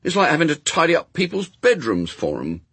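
An earnest young boy from a storybook, performed by a male reader, with a raised, highly animated voice. He argues stubbornly but reasonably, in plain childish words that run on and on. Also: fairly quick, gravelly, husky, no echo, heavily acted. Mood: stubborn, reasonable.

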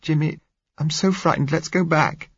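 A man's voice: medium pitch, expressive, fairly quick, husky, dry recording, dull audio, voice pushed up. A man reading an audiobook, voicing a frightened young woman who pleads anxiously.

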